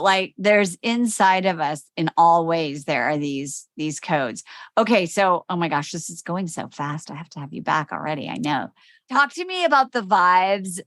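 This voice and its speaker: A woman's voice: lowish voice, a bit bright, no room sound. Bubbly, chatty woman podcast host. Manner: bubbly, chatty, swears casually. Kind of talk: casual interview chat.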